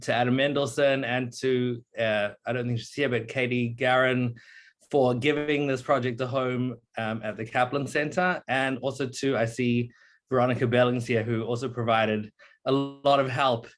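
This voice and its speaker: A young man, a PhD candidate, giving a halting presentation on a video call with many fillers. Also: mid-range voice, fairly even intonation. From born in South Africa, raised in New Zealand. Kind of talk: online meeting presentation.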